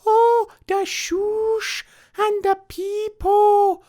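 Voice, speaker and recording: A performer voicing a dreamy, whimsical woman with drawn-out, singsong exclamations in a fan fiction reading. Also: very high voice, level tone, dark tone, exaggerated voice. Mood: blissful. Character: dreamy, whimsical woman delighting in a lovely day.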